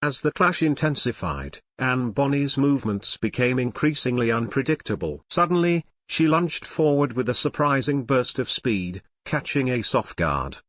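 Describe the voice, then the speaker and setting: Guy narrating an adventure audio drama, medium pitch, dry close studio sound, scripted dramatic read. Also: expressive, normal speed, few pauses, fairly bright tone, no echo.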